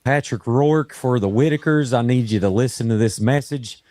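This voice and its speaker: A hoarse man reading a news report aloud in a casual way, stumbling now and then and adding his own corrections. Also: mid-range voice, moderate pace, dark tone, dry recording. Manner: casual, stumbles over words, adds corrections. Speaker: online video host reading a news statement.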